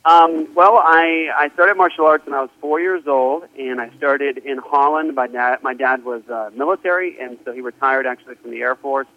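A man's voice: mid-range voice, fairly even intonation, normal speed, few pauses. A middle-aged man, a pastor, talking earnestly on the phone as a guest in an interview, with many fillers. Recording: tinny phone line.

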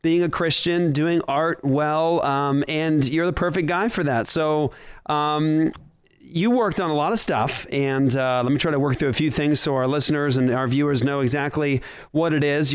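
A man's voice: a little high, normal speed, smooth, dry recording. Brief: male talk-show host, casual and filler-heavy, clean close sound. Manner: rambling, filler-heavy, jokey. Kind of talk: casual chat.

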